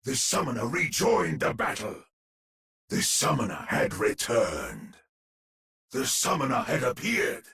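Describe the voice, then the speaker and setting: A man playing a grim, stern fantasy warrior in a video game, with a gravelly, husky voice and a dramatic, solemn delivery. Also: medium pitch, huge pitch swings, unhurried, bright, forceful tone, full character voice.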